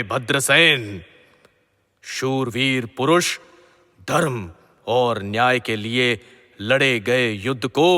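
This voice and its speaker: Righteous king, middle-aged, male actor, mythological audio drama. Unhurried, stately, measured delivery, hall-like echo. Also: medium pitch, sharp tone. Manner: slow, solemn, formal, measured pronouncements. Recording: long hall-like reverb.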